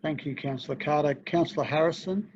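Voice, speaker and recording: A man's voice: a little high, fairly quick, a little mellow, dry recording. A man chairing a council meeting as mayor, hesitant and reflective, with many fillers. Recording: dry, dull lo-fi meeting audio.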